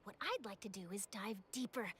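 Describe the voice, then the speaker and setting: A woman's voice: medium pitch, lively intonation, fairly quick, fairly bright tone, slight background noise, lightly acted. An actress playing an eager, passionate young female journalist in an anime game, articulate, theatrical and upbeat. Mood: excited, cheerful. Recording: background music under the voice.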